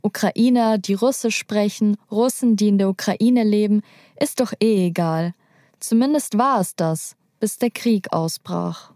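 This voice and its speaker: A young woman narrates a documentary in a calm, measured voice with a soft, mellow tone. She is recorded close and dry, with no room sound around her. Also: mid-range voice, no echo. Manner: calm, measured, personal storytelling.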